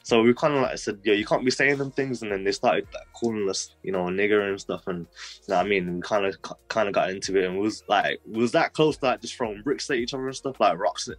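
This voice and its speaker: Low-voiced young man, rambling. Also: quick pace, no echo, faint music behind. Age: 20s. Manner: rambling, heavy use of "like" fillers, street slang. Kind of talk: casual personal storytelling.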